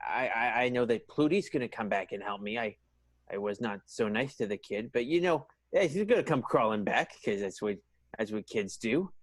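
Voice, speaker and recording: Man playing a halting, rueful loner. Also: mid-range voice, lively intonation, no echo, close to an everyday voice. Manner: halting, hesitant restarts. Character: reluctant man admitting he needs help.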